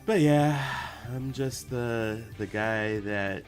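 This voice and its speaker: A man's voice: mid-range voice, slow, soft background music. A man, an animator and channel producer, chatting casually on a stream and reading comments, rambling with lots of fillers and restarts. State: recovering from a week of sickness.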